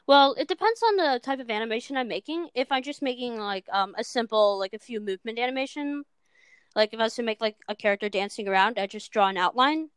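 A woman's voice: a little high, quick pace, clean tone, no echo. A seventeen-year-old girl from Minnesota chatting as a guest about her animation work, on a cheap microphone, with lots of ums. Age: teens. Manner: chatty, filler-heavy, self-deprecating. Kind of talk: casual chat.

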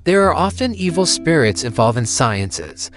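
A woman's voice: low voice, sing-song, normal speed, over music, pitched below the performer's own voice. A girl doing a stern, preachy storybook dad. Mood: solemn. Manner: solemn, preachy reading. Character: stern devout father, grown man, lecturing.

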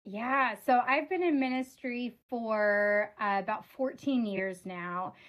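Earnest woman with a slightly raspy voice. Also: a little high, takes it slowly, a bit bright. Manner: earnest, many fillers like "oh my gosh". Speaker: ministry leader guest. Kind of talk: casual interview answers.

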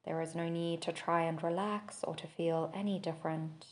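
A woman leading a guided body scan meditation, giving gentle, measured instructions with long pauses between phrases. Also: on the low side, fairly even intonation. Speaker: podcast host and meditation teacher.

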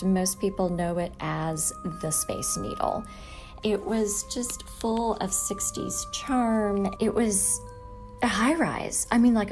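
A woman's voice: medium pitch, a little mellow. A woman casually telling a personal ghost story in a conversational, emphatic way. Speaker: storytelling contributor.

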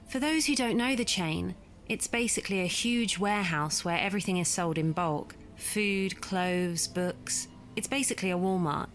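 Woman narrating a story, slightly low voice. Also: a little hiss or hum. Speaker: guest reader of listener stories. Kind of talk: scripted first-person story narration.

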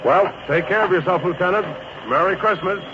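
A hearty older conductor acted by a man from a vintage radio play. His voice is fairly high and fatherly. The recording is muffled, with clear background noise. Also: a little fast, natural voice. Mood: kindly. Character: kindly older train conductor calling out stops. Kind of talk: old-time radio drama acting.

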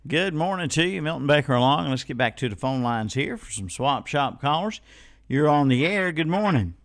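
Folksy male radio host with creaky voice. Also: on the high side, animated, moderate pace, dry recording, studio quality. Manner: folksy, rambling, playfully repeats himself. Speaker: radio host of a call-in swap shop and music show. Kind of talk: casual live radio hosting. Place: radio studio. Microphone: close studio microphone.

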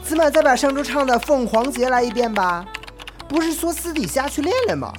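Casting: actress as a mischievous young female fan, high and lively, theatrical radio drama style. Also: a little fast, over music. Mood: teasing. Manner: teasing, theatrical. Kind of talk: online radio drama acting.

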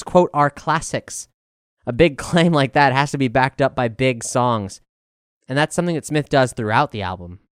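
A man's voice: medium pitch, smooth, no room sound, clean studio sound. A man reading a scripted album review as narration.

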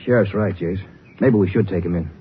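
A man's voice: low voice, brisk, natural voice. An actor playing a firm, plain-spoken lawman sidekick in a 1950s radio drama. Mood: doubtful. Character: firm, loyal male Ranger sidekick. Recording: tinny, noisy old radio recording.

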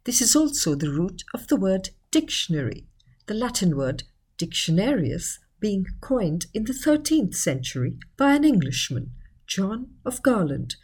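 A woman reading a scripted educational article in a measured, explanatory manner. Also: mid-range voice, darkish tone, slightly rough. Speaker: article narrator.

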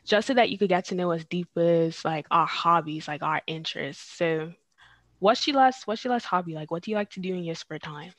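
A woman's voice: lowish voice, brisk, dry recording. A woman of twenty-one, hosting a casual podcast and asking questions with plenty of "um"s. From Bermuda. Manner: friendly questioning with many fillers. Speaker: podcast host.

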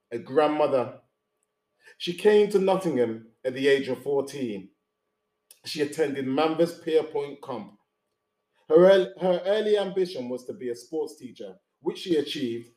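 Hoarse host in an echoey room. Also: male voice, medium pitch, a little mellow. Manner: jokey, chatty, stumbles while reading an introduction. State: hoarse, losing his voice. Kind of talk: casual podcast chat.

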